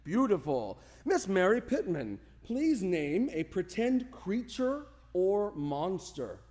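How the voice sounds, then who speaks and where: Theatrical high-pitched man hosting a show. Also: very animated, slow, darkish tone. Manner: grandiose, playful, formal introductions. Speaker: host of a live radio drama show.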